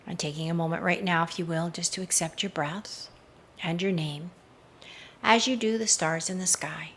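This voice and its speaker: A woman leading a slow guided meditation in a measured, hushed voice that often softens into breathiness, recorded outdoors. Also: lowish voice, steady tone, slight background noise. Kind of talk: slow, soothing guided meditation with hypnotic induction. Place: outdoors in a garden. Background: faint outdoor garden ambience.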